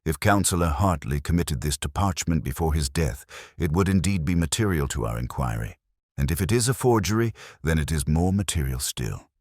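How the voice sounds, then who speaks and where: A shrewd police inspector read by a male narrator from a mystery story, speaking formally and deliberately with quiet authority. The voice is very deep, yet the intonation rises and falls freely, in a dry recording. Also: animated, no echo. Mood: calm, firm. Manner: soft-spoken, deliberate, formal.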